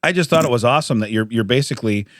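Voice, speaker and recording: A man, a podcast co-host, telling odd news stories in a casual, jokey way with chuckles and throat-clearing. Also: medium pitch, rapid-fire, dry recording.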